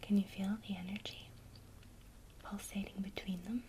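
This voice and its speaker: A woman teaching a witchcraft lesson in a soft, unvoiced ASMR whisper, guiding the listener gently and speaking straight to them. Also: medium pitch, flat delivery, slight background noise. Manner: slow, soothing, intimate, addresses the listener directly. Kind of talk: whispered ASMR guided visualization.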